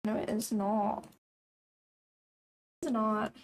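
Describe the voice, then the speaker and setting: A young woman with a raspy voice, chatting casually and thinking aloud as she hosts a live trading stream. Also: mid-range voice, flat delivery, extremely slow. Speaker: trading livestream host.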